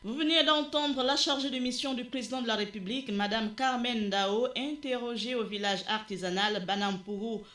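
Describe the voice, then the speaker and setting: A radio news reporter asking field questions briskly and reading news narration. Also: mid-range voice, fairly even intonation, bright, forceful tone, echoey room.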